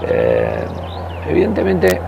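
Man, 60s, interview guest, halting storytelling, loud noise behind. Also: fairly high pitch, huge pitch swings, gravelly, highs cut off. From Basque Country. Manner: hesitant, many pauses and restarts, casual swearing. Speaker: interview guest, party member.

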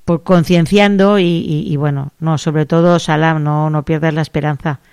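A woman hosting a radio interview, talking gently and encouragingly and repeating her phrases. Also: low-pitched, fairly even intonation, moderate pace, clean tone. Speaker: radio host.

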